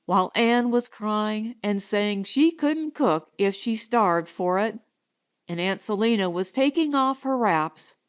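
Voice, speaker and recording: A woman's voice: on the high side, clean tone. A woman reading first-person narration from a comic novel as an audiobook narrator.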